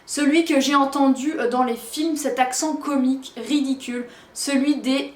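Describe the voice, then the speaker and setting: High-voiced woman reviewing a book. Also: steady tone, moderate pace, echoey room. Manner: hesitant, many fillers.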